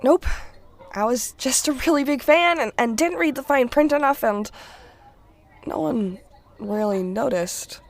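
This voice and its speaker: A woman's voice: sits high, animated, measured pace, vocal fry, pauses often, exaggerated voice. A woman playing a sheepish fan who poses as a superhero in an audio drama, candid and wry. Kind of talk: casual dialogue in audio drama.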